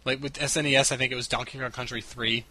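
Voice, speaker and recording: A man's voice: mid-range voice, flat delivery, quick pace, sharp tone. A man in his late twenties chatting casually on a gaming podcast, with a blunt, slangy way of talking. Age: late 20s. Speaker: podcast panelist. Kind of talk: casual chat about video games.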